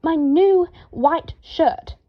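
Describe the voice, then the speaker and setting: A woman's voice: extremely high pitch, very slow, muffled recording, pitched above the performer's own voice, heavily acted. A woman voicing a fussy, short-tempered man for a story, wailing, snarling and swearing with indignation.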